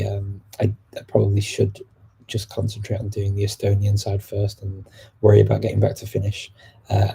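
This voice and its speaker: Gravelly, dark-voiced man. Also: lowish voice, slight background noise. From United Kingdom. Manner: relaxed and chatty, answering questions as they come in. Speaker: language-learning livestream host. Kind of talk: casual live chat answering viewers.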